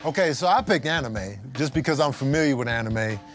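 A middle-aged American man speaks casually with a comic bent. His pitch is average for a man, with soft music behind him. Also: lively intonation, fairly quick. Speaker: comedian contestant. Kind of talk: casual comedic talk. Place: podcast studio.

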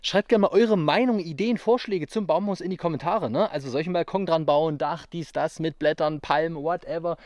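Man, 30s, outdoor survival vlogger, casual and upbeat, somewhat high pitch, forest camera audio. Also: fairly quick, hardly any pauses, darkish tone, dry recording. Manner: chatty, addresses viewers directly, upbeat. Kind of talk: casual outdoor vlog talking to viewers. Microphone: action camera mic at a distance.

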